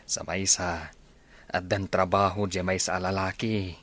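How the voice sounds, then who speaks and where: An actor with a Filipino accent playing a steady, frank village friend in a radio drama. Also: male voice, low-pitched, measured pace. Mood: conversational.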